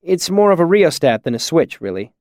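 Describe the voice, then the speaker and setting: A man's voice: on the high side, sing-song, a little mellow, dry recording, clean studio sound, pitched above the performer's own voice. A man voicing a young male student inventor in an audiobook, respectful and earnest, eager to explain himself. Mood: defensive explaining. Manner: earnest, quick to explain, deferential. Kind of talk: audiobook character dialogue.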